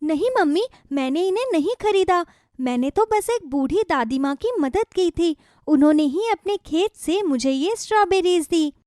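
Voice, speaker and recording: A woman's voice: very high-pitched, expressive, moderate pace, dark tone, dry recording, studio quality, pitched above the performer's own voice. A woman voicing a kind, eager little girl in a children's story, polite and earnest. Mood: excited.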